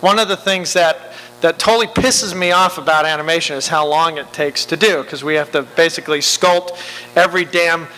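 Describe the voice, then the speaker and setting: A man with a bright, fairly high voice jokes at his own expense while explaining how his films get made. He speaks from a panel stage, and his voice rings with a long hall reverb over a faint hum. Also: moderate pace. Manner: wry, self-mocking, plays at quotes in funny voices. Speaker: animation film director, panelist. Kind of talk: candid panel talk.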